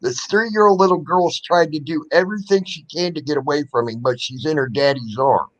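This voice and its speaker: A man's voice: fairly high pitch, a little fast, rough recording. A man hosting a casual livestream chat, rambling and swearing freely as he airs strong opinions.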